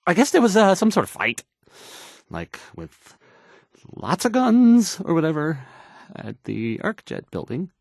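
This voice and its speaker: Nervous, stammering radio DJ. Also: male voice, sits high, wildly swooping pitch, gravelly, dry recording, clean studio sound, exaggerated voice. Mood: awkward, unsure. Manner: halting, stammering, hesitant, unsure. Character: nervous, bumbling, insecure male small-time radio DJ. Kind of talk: in-character radio news broadcast, video game voice acting.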